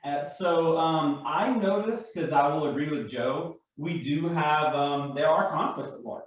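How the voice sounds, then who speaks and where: A man in an unscripted panel discussion, explaining his view in a halting, reflective way. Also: fairly high pitch. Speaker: panel guest and larper. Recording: echoey, distant, dull microphone.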